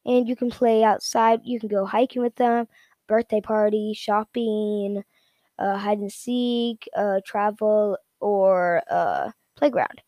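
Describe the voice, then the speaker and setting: A woman giving a casual, unscripted product rundown in a halting, repetitive, informal way. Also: medium pitch, mellow, no room sound, clean studio sound. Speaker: product reviewer.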